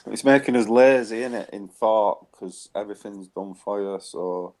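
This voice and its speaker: Very deep-voiced man. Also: normal speed, very noisy. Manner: opinionated, tag questions, loose phrasing. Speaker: co-host. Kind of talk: casual conversational talk show.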